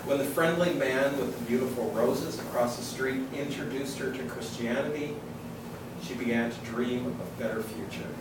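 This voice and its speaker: A man hosting an author event, introducing the guest and reading aloud in an informal, halting way. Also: mid-range voice. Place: indoor public reading event, far from the mic. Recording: echoey room, distant mic, noisy.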